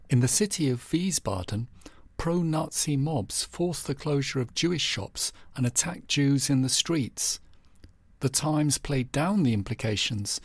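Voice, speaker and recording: A man reading scripted, documentary-style news headlines in a measured, formal manner. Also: medium pitch, darkish tone, dry recording. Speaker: narrator presenting historical news headlines. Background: background music.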